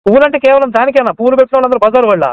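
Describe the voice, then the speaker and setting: A man in a heated phone argument, aggressive, abusive and quick to interrupt, on a lo-fi call recording. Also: very high-pitched, clean tone, dry recording. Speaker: caller in a heated phone debate.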